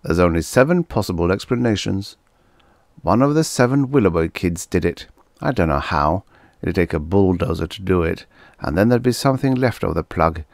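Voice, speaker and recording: A man voicing a gruff, suspicious beat policeman who booms and grows exasperated. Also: animated, moderate pace, no room sound, clean studio sound. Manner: booming, exasperated.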